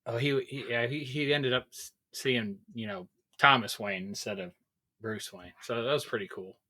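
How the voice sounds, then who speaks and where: A man's voice: mid-range voice, normal speed, sharp tone. A man co-hosting a podcast, talking in a rambling, enthusiastic way and swearing casually. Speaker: podcast co-host.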